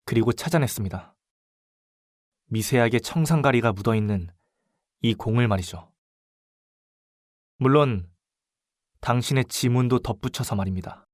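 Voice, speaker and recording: A male actor playing a young student detective in an audio drama, speaking with polite, deliberate and dramatic composure. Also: medium pitch, dry recording, studio quality, close to an everyday voice. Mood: cool, confident. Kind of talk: audio drama acting with first-person narration.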